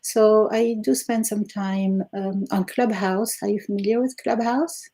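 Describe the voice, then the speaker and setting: A woman in her sixties from Algeria, a native Arabic speaker, talks through her answers with gravel in her voice and a mellow, darker tone. She laughs often and fills pauses with ums and uhs. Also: mid-range voice, steady tone. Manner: warm, laughs often, fillers. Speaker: guest, retired Arabic teacher. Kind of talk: casual interview answers.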